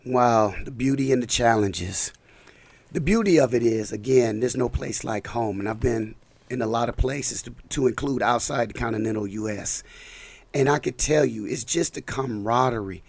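A man's voice: medium pitch, moderate pace, no room sound. A man, a community council president, talking in a casual interview, a bit scratchy from a cold and rambling with restarts. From Los Angeles.

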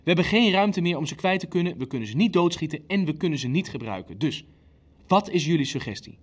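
An actor playing an impatient, ruthless Nazi official in a radio play, insistent and coldly matter-of-fact. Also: male voice, fairly high pitch, expressive, fast talker. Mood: insistent, harsh. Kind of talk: dramatic dialogue in a radio play.

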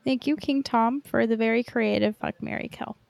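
A woman co-hosting a podcast in relaxed, informal conversation. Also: fairly high pitch, normal speed, creaky, no echo. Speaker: podcast co-host. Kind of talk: casual chat.

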